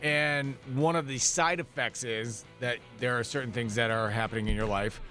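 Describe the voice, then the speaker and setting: A man hosting a morning radio show, teasing his co-host in a jokey, quick-to-laugh manner. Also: mid-range voice, steady tone, bright, forceful tone, soft background music. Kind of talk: casual radio banter. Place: radio studio.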